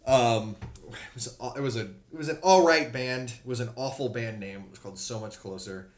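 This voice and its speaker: A man's voice: mid-range voice, brisk, noisy background. A man co-hosting a podcast, telling a loose, profane story with lots of laughter. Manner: loose, profane, laughs often, does loud mock voices. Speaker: podcast co-host. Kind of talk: casual chat, storytelling. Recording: roomy echo.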